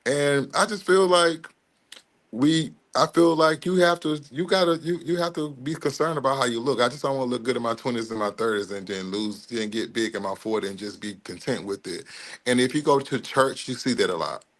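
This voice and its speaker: A man in a panel conversation whose voice sits in a middling register. He speaks haltingly and often restarts his sentences on a remote call. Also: mid-range voice, fairly bright tone. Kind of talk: casual panel chat.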